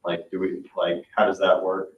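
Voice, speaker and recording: A man on a board talking informally and haltingly as he questions how things are done. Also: low-pitched, monotone. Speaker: board member. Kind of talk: informal meeting discussion. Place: indoor public meeting room. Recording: muffled, echoey room, distant mic.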